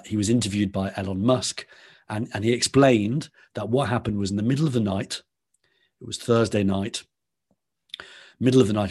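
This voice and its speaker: A man's voice: lowish voice, darkish tone, husky. A man with a Liverpool accent, a podcast guest explaining at length in conversation and often restarting his phrases. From Liverpool, England.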